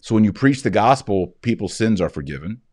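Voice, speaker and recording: A man in his forties reading scripture and explaining it in an earnest, emphatic way with reflective pauses. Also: lowish voice, expressive, a little mellow, no room sound, clean studio sound. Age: 40s. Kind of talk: scripture reading with devotional commentary. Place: indoors, wood-panelled office, seated at a desk.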